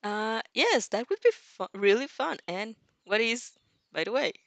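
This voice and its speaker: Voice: mid-range voice, big pitch swings, unhurried, gravelly, fairly bright tone, dry recording, exaggerated voice. A streamer reading the part of a naive, polite young female vampire, in short, awkward, friendly sentences. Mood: sheepish.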